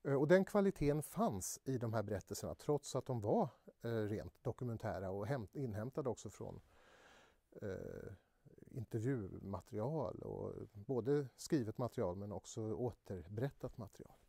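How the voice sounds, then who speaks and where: A man giving reflective interview talk with hesitant, measured phrasing. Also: lowish voice, lively intonation, dark tone, slightly rough, dry recording.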